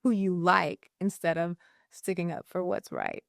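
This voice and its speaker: A woman's voice: on the low side, wildly swooping pitch, unhurried, creaky, dry recording, clean studio sound. A woman co-hosting a conversation about mental health, thoughtful and searching for her words. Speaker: podcast co-host. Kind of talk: therapy-informed conversation.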